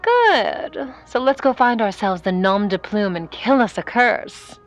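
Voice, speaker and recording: An actress playing an ambitious, hard-boiled young woman of the 1950s in a vintage audio drama, speaking deliberately and defiantly. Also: medium pitch, expressive, faint music behind, lightly acted. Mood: determined.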